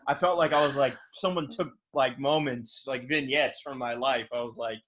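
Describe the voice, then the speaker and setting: A man's voice: medium pitch, steady tone, normal speed, fairly bright tone, a little hiss or hum. A man hosting an interview podcast from his city apartment, talking in an eager, effusive way with many "like"s. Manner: enthusiastic, effusive praise, many fillers like "like". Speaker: podcast host. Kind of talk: casual interview chat.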